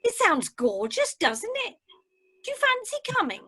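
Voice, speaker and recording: A woman's voice: very high voice, huge pitch swings, normal speed, sharp tone, no echo, clean studio sound, full character voice. A woman playing an eager, chatty cruise passenger in an amateur radio drama. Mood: cheerful, chatty. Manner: eager, sociable. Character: chatty, sociable woman passenger from the Welsh valleys.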